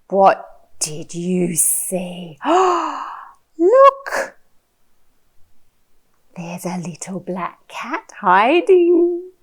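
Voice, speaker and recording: Woman as playful, wonder-filled picture-book reader for toddlers: very slow, sing-song, wildly varied pitch. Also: a bit bright, exaggerated voice. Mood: wondering, excited. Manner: sing-song, exaggerated, drawn-out words. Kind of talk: picture-book read-aloud for babies.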